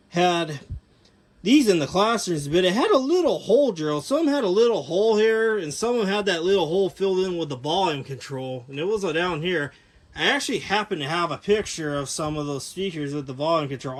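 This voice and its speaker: A man giving a casual, unscripted show-and-tell as a hobbyist presenter, talking in a rambling, halting, detail-focused way. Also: on the high side. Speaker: hobbyist presenter showing his speaker collection.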